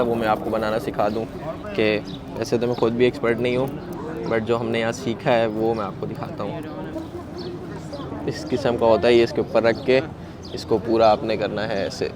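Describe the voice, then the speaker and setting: A man with a sore throat, chatting to viewers as he films his own travel vlog, calling them brother as he walks and shows things. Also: mid-range voice. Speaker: travel vlogger. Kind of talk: handheld travel vlog narration. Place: outdoors and in a large busy mosque extension. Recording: noisy outdoor phone recording.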